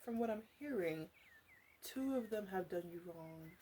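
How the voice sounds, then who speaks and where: A woman acts out a teasing witch, a few hundred years old yet youthful, in an ASMR roleplay. She speaks slowly at a medium pitch, halting and coy, often slipping into a whisper. A faint noise sits behind her voice. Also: lively intonation, dark tone, natural voice. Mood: gently concerned.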